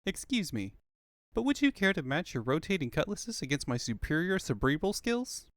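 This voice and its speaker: A man's voice: a little high, sing-song, moderate pace, dry recording, clean studio sound. A man voicing a small, pompous genius fox boy with wordy, pedantic speech for a comic fandub. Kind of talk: comic fandub character acting.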